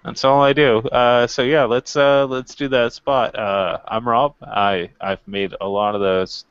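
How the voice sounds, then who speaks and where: A man's voice: mid-range voice, steady tone, measured pace, smooth, no room sound. A man co-hosting a casual wrestling podcast, bantering in a blunt, sweary, self-deprecating way. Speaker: podcast co-host. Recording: dull lo-fi microphone sound.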